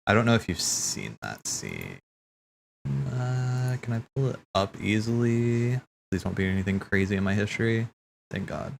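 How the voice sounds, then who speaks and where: A man's voice: on the low side, big pitch swings, normal speed, vocal fry, a little hiss or hum. A man hosting a casual watch party, rambling and thinking aloud in slangy speech as he comments. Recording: game music playing behind. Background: video game cutscene music.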